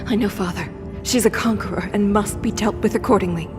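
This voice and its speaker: A woman's voice: medium pitch, brisk, over music, close to an everyday voice. A woman playing a protective, caring water goddess in an audio drama, earnest in manner. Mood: resolute.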